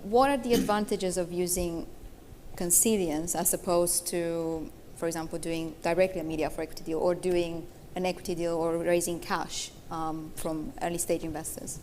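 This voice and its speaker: Woman, panel moderator, low monotone voice, hall reverb. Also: normal speed. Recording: hall reverb with faint noise.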